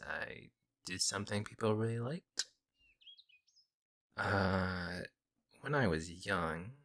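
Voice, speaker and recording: A man playing a friendly young werewolf, a lone wolf who talks casually and teases gently in an ASMR audio roleplay. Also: fairly low pitch, gravelly, heavily acted. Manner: casual, hesitant musing, gently teasing. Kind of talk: ASMR audio roleplay, intimate conversational acting.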